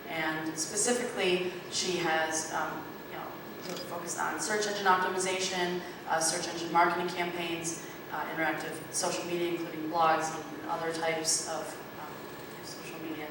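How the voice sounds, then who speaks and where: A woman's voice: lowish voice, little pitch movement, normal speed. A woman introducing a speaker at an event, hesitant and full of fillers. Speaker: organizer introducing the moderator. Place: event room. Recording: echoey hall mic from far off, background noise.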